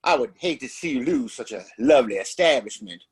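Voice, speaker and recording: A man's voice: medium pitch, expressive, a little fast, slightly rough. A man playing an oily, pompous mayor in a Western audio play, with falsely courteous speech. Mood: falsely sympathetic. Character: smug, oily Old West town mayor.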